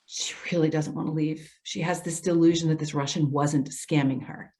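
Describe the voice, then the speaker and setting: A woman's voice: low-pitched, steady tone, moderate pace, a little mellow, lightly acted. A woman playing a patient, concerned social worker in a readers theater drama, speaking in an earnest, coaxing way. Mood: weary, confiding. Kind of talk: readers theater stage acting.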